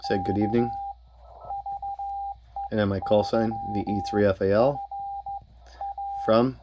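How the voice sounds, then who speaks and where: A man's voice: fairly low pitch, steady tone, a little slow. Man, casual narration over noise. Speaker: amateur radio operator, video host.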